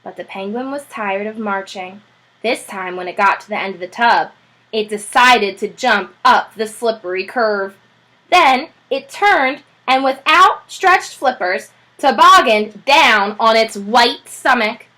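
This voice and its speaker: A woman's voice: a little high, measured pace, rough recording. A woman teacher reading a children's chapter book aloud, telling it expressively. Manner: expressive, animated storytelling.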